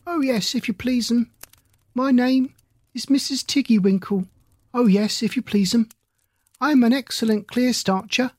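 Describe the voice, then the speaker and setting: A woman voicing a high, fussy hedgehog washerwoman. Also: unhurried, darkish tone, slightly rough, no room sound. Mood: fussy polite. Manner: bobbing, deferential, old-fashioned polite. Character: stout anxious female hedgehog washerwoman.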